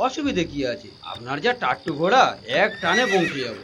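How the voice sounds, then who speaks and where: A man's voice: fairly high pitch, sing-song, fairly quick, loud music behind the voice. A man playing a nervous, secretive cartoon passenger, hesitant and evasive as he mutters. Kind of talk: animated cartoon dialogue. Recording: noisy, with crickets and animal sounds.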